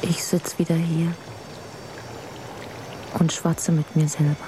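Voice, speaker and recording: A lonely fisherman's wife in a German radio drama, musing wistfully to herself. Her voice is slightly low and slow. Running water is clearly heard behind her. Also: little pitch movement, noisy background. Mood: wistful chatting. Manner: musing, unhurried. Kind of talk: German radio play monologue. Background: trickling water.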